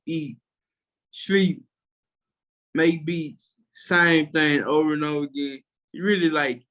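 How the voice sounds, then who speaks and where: A man's voice: fairly high pitch, a bit bright, lo-fi sound. A young man with a Memphis-area Southern accent, a music producer talking casually and slangily about his start, rambling with lots of fillers. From Tennessee, USA. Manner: laid-back, slangy, rambling with many fillers. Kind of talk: casual interview chat.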